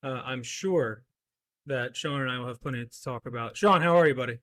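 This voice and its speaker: A man's voice: mid-range voice, a little fast, fairly bright tone. A man hosting a podcast interview, upbeat and friendly in casual chat. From United States. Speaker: podcast host.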